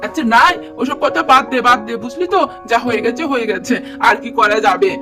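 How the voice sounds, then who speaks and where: Woman playing a high-voiced easygoing doll boy. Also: expressive, a little fast, recorded from a distance, close to an everyday voice. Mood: casual. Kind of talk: children's doll story cartoon dialogue. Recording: music behind the voice. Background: music.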